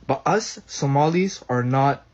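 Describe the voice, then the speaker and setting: A man's voice: medium pitch, a little slow, a little mellow, dull audio. A man making a short, assertive argument, posing questions. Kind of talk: short clip monologue.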